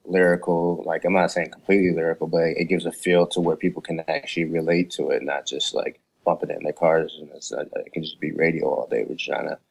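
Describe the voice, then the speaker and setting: A man with a very low voice and little melody talks casually as a guest artist. His phrasing is halting and slangy. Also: flat delivery, gravelly, breathy edge. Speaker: podcast guest, music artist. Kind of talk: casual chat.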